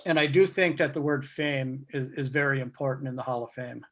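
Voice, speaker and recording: A man talking casually as a guest on a video call, reflective and measured, often posing questions. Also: mid-range voice, fairly even intonation, fairly bright tone. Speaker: guest speaker, baseball writer. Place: remote video meeting. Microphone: video call microphone.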